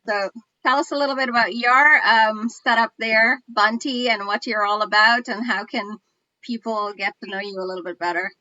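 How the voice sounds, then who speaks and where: A woman's voice: fairly high pitch, fairly even intonation, normal speed, bright, forceful tone, no room sound, muffled recording. A woman hosting a casual online chat, introducing guests and chatting in a friendly way over a video call. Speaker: show host.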